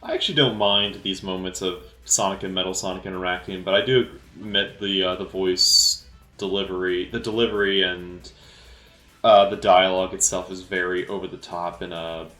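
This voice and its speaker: Low-voiced male film commentator, off the cuff and halting, crisp-edged tone, recorded in an echoey room. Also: flat delivery, bright, forceful tone, faint music behind. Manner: halting, hesitant, often repeating words. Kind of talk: casual unscripted movie commentary. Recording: roomy, echoey sound.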